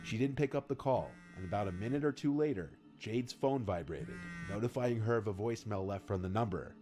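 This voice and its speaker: A man reading scripted horror story narration in a measured, serious manner. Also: on the low side, lively intonation, dark tone. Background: background music.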